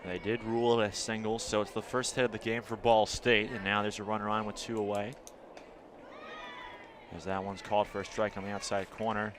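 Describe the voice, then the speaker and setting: A man with an American accent doing live play-by-play commentary of baseball and softball as an announcer. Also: fairly low pitch, little pitch movement, brisk, background noise.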